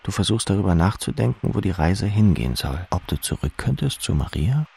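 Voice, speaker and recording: A man's voice: low voice, sing-song, a little fast, creaky, darkish tone, a little hiss or hum. A man narrating literary prose in the second person, as in an audiobook.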